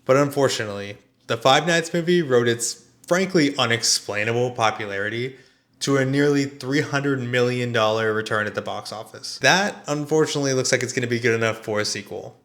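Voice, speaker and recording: A man's voice: mid-range voice, a bit bright. A man giving a conversational scripted film review in a dry, sardonic manner. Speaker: video essayist and film reviewer.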